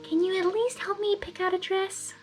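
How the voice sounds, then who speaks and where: A woman voicing a shy, gentle young female pegasus pony who talks in a soft, fretful, earnest way, in a storybook reading. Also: very high-pitched, steady tone, moderate pace, faint music behind, pitched above the performer's own voice. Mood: gentle pleading.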